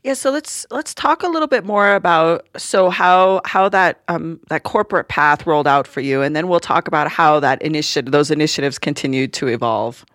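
A woman's voice: low voice, moderate pace, few pauses, fairly bright tone, no echo, clean studio sound. A woman hosting a podcast interview in a casual, affirming, informal way. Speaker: podcast host.

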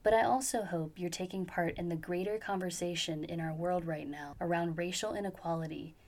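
A woman's voice: fairly low pitch, steady tone, a little fast. A woman hosting a travel podcast, asking questions in an upbeat, enthusiastic way. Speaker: podcast host. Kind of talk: podcast interview. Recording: intro with soft guitar music.

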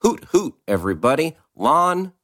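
A man co-hosting a comedy podcast, his voice a little raspy, joking and swearing in loose chat. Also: a little high, very animated, normal speed, fairly bright tone. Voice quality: raspy today. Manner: jokey, swearing, acts out silly quotes. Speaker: podcast co-host.

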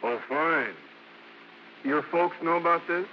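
A brash, cocky young American song-and-dance comic in an old radio play. His voice sits high and he rattles off breezy, slangy showman patter. The recording is dull and very noisy. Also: takes it slowly. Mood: sizing up, brusque. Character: brash, cocky young male vaudeville singing comedian. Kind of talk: 1940s-50s American radio acting.

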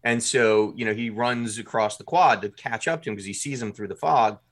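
A man's voice: mid-range voice, a little fast, fairly bright tone. A man talking as a guest in a podcast interview, explaining his production work in detail and acting out short examples.

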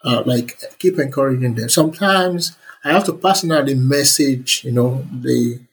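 A young man answers interview questions with earnest enthusiasm. His voice is very husky and breathy, and his pitch sits mid-range. He fills his answers with uh and um as he talks. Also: normal speed. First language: Yoruba. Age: young adult. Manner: earnest and enthusiastic, many fillers. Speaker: interview guest, computer scientist. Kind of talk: relaxed conversational interview answers.

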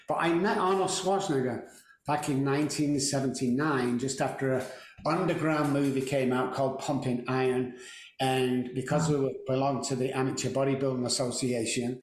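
Man in his 60s, Mancunian accent, chatty and wry, roomy echo, mid-pitched voice. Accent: Mancunian English. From England. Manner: chatty, joking, self-deprecating. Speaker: podcast guest. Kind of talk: casual storytelling interview.

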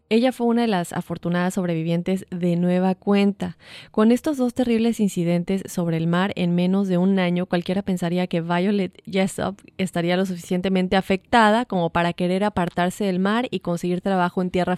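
A woman's voice: on the low side, a little mellow, dry recording. Chatty woman co-host. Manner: chatty storytelling, many fillers. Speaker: podcast co-host. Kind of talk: casual chat.

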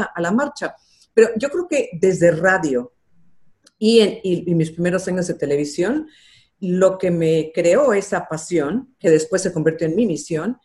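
A woman's voice: on the low side, darkish tone. An older woman, a journalist being interviewed, telling her career story in an earnest, reflective way with some fillers. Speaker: interview guest, television journalist.

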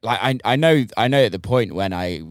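A man hosting an interview, relaxed and chatty with short agreeing replies. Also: mid-range voice, brisk, no echo, clean studio sound. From United Kingdom. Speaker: podcast host. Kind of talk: casual interview chat.

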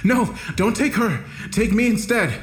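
Man acting a desperate captive, raised pitch. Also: lively intonation, measured pace, background noise. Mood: frantic. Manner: urgent, pleading. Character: desperate, defiant captive man, pleading and angry.

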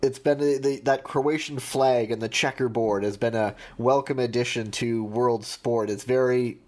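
Measured, hesitant podcast co-host man. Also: medium pitch, fairly even intonation, a little mellow. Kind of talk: casual podcast chat.

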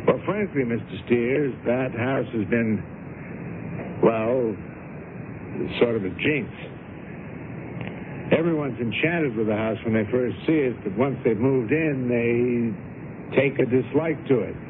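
A tinny old radio drama recording with clear noise. A man acts a cautious, courteous estate agent with a mid-pitched voice. He speaks politely and hesitantly, weighing his words. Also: slow pace, long pauses, close to an everyday voice. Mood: hesitant, warning.

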